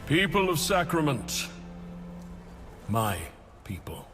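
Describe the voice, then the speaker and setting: A man voicing a solemn male city leader, giving a measured speech to his people. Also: fairly high pitch, sing-song, music in the background.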